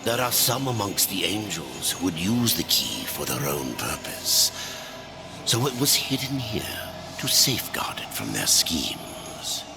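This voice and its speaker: An actor playing an ancient, knowing male guide in a fantasy game, speaking in a solemn, measured and cryptic way. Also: low voice, very animated, gravelly, over music, heavily acted. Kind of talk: dramatic game cutscene acting.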